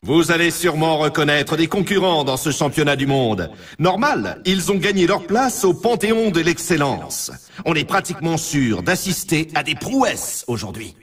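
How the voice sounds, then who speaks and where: Casting: bombastic video game sports announcer, higher register, a bit rough-toned, theatrical delivery. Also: male voice, big pitch swings, moderate pace, fairly bright tone, far from the mic, exaggerated voice. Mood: grandiose, solemn. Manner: grandiose, theatrical, emphatic. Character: bombastic male extreme snowboarding championship announcer.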